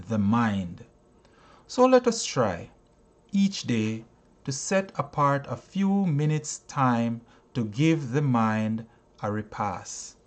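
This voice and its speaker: A man reading a self-help audiobook in a deliberate manner, breaking sentences into short phrases with frequent pauses. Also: mid-range voice. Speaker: audiobook reader, teacher.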